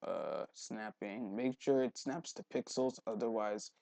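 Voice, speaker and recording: A young man giving a casual software tutorial, thinking aloud as he rambles along. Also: fairly low pitch, lively intonation, measured pace, dry recording. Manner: rambling, thinking aloud, frequent throat clearing. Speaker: tutorial host. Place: indoors at a computer, voice-over for screen recording.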